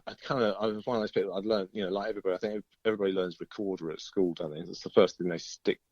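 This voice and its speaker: A man's voice: sits low, fairly even intonation, rapid-fire, darkish tone, no room sound, highs cut off. A middle-aged British man, a musician chatting casually in an interview, rambling through stories in a chatty way. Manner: chatty, rambling storyteller, often chuckling.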